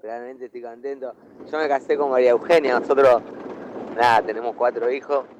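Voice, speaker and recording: A man with an Argentine accent playing an amiable, hesitant middle-aged family man who rambles haltingly. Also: mid-range voice, steady tone, natural voice. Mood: friendly rambling. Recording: dull, noisy voice message from vehicle.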